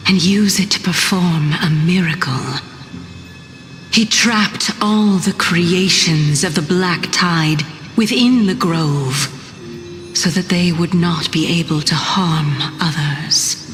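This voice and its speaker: A woman playing an ancient goddess in a video game, composed and teasing, with measured, poised delivery. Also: lowish voice, fairly bright tone. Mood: composed, musing. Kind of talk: video game character acting. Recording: long reverb, music behind.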